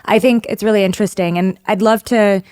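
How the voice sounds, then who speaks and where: A woman's voice: medium pitch, steady tone, brisk, dark tone, smooth. A woman hosting a podcast interview, framing thoughtful questions and recapping for listeners. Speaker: podcast host.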